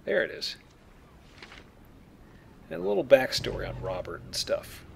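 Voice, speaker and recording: Male game commentator, mid-pitched, casual, explanatory, joking, faint game noise behind. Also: sing-song, unhurried, gravelly. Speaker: Let's Play host. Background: video game sounds.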